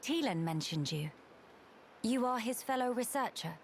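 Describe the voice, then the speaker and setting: An actress playing a composed, courteous young noblewoman in a video game cutscene, speaking formally and with measured dignity. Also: on the high side, animated, slight background noise. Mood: polite. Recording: game music behind the voice.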